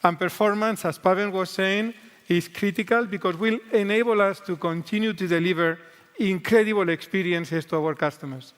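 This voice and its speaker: Spanish-accented guy on a keynote stage, pretty high voice, earnest, big hall echo. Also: normal speed, fairly bright tone, clear, resonant tone. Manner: earnest, with restarts in second-language English. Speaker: guest executive on stage. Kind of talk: keynote guest segment. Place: large convention hall stage. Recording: echoey large hall.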